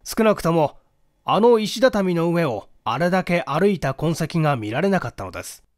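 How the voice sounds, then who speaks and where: Casting: male reader as polite, methodical detective for mystery audiobook; higher-pitched male voice, animated intonation, dry close sound. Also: dry recording. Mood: serious, explanatory. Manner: polite, measured, explanatory. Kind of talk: dramatic audiobook reading of a mystery.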